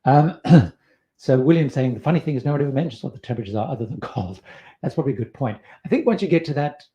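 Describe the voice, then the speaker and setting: A man's voice: medium pitch, animated, fast, warm tone, lo-fi sound. A middle-aged man hosting a casual live question-and-answer stream, talking loosely with many fillers and restarts. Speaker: livestream host answering viewer questions.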